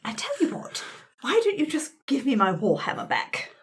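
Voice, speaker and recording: A woman's voice: fairly high pitch, huge pitch swings, moderate pace. A woman playing a tabletop game, improvising roleplay chat in a polite, coaxing, slightly hesitant manner. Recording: faint background noise.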